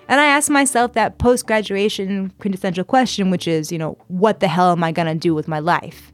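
A woman in her twenties from San Diego, telling her story in a chatty interview style full of "like" and "uh". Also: fairly low pitch. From San Diego, California, US. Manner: chatty, frequent fillers like "like" and "uh". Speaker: guest.

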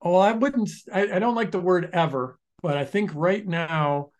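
A man with a slightly raised voice co-hosts a casual podcast conversation. He tells stories animatedly, speeding up and slowing down and restarting sentences as he goes. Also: high voice. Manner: animated storyteller, restarts sentences, shifts pace often. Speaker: podcast co-host, online business founder.

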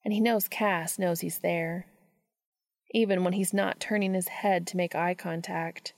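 Low, very creaky woman narrator. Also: steady tone, measured pace, no room sound, clean studio sound. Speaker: podcast host and reader. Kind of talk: scripted narration, fan fiction reading.

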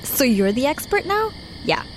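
A woman's voice: sits high, big pitch swings, normal speed, slight background noise, poor audio. A young woman playing a stubborn, nature-loving hike leader in an audio drama, casual, wry and insistent. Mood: defensive, irritated.

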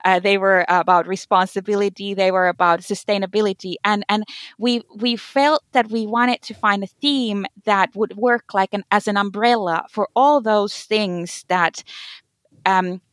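A woman's voice: mid-range voice, steady tone, unhurried, no room sound. Hesitant woman, searching for words. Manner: hesitant, many fillers and restarts, searching for words. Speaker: conference organizer, guest. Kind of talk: conversational interview answers.

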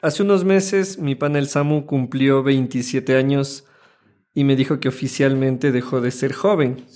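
A man's voice: medium pitch, fairly even intonation, a little slow, darkish tone, faint music behind. A man in his thirties with an Ecuadorian Spanish accent, co-hosting a casual, conversational podcast. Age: 30s. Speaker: podcast co-host.

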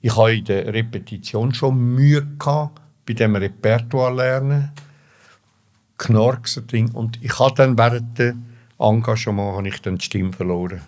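A man's voice: medium pitch, steady tone, unhurried, darkish tone. Older man with a Swiss German accent. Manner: emphatic, repeats words for stress. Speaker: interview guest telling his life story. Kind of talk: spontaneous personal storytelling.

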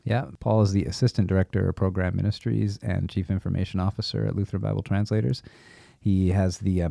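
A man's voice: deep voice, creaky, warm tone, dry recording, clean studio sound. A man co-hosting a podcast in an easygoing way, reading the guest introduction and asking questions. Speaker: podcast co-host. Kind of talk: casual podcast chat.

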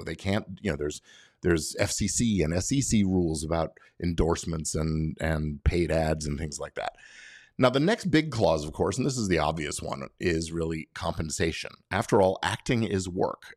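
Low-voiced, animated American man. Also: moderate pace, no echo, studio quality. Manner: emphatic, conversational, poses rhetorical questions. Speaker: podcast host and lawyer giving advice. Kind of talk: explanatory solo podcast monologue.

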